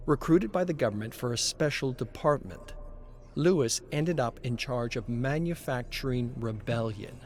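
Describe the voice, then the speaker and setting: A man voicing a female agent who briefs an operative in a crisp, matter-of-fact manner. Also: medium pitch, moderate pace, darkish tone, faint music behind, pitched above the performer's own voice, lightly acted. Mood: businesslike.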